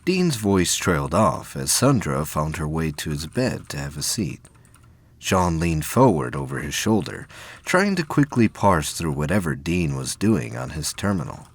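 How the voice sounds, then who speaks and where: A man's voice: a bass voice, very animated, measured pace, dry recording. A man reading scripted narration as the narrator of an audio drama.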